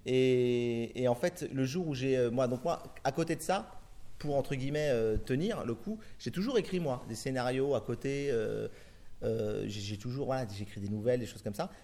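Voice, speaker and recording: A man's voice: medium pitch, normal speed, warm tone. A middle-aged man from France, a film director answering interview questions in long, rambling turns full of restarts and fillers.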